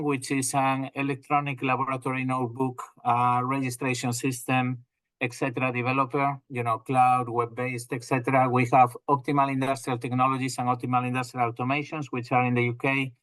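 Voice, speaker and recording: A man from northwest Spain with a Spanish accent, a company president explaining his business in a measured way, with frequent fillers and restarts. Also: medium pitch, fairly bright tone, dry recording, rough recording. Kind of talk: business interview over video call. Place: home or office in England.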